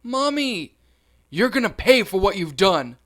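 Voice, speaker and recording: A man's voice: sits high, very animated, measured pace, fairly bright tone, pitched above the performer's own voice, full character voice. A man voicing a small, foul-mouthed, defiant little boy with a bratty, squealing delivery.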